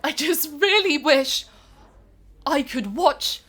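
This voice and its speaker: A woman playing a high-voiced, over-polished film star. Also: lively intonation, takes it slowly, a bit bright, full character voice. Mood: exasperated, frantic. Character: pampered, overworked film star who cracks under press tour. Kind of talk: improvised-sounding comedy podcast interview acting.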